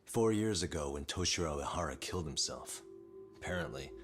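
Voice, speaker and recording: An actor playing a determined, analytical private detective in a video game dub, self-assured in manner. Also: male voice, a bass voice, animated, brisk. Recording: loud music behind dialogue.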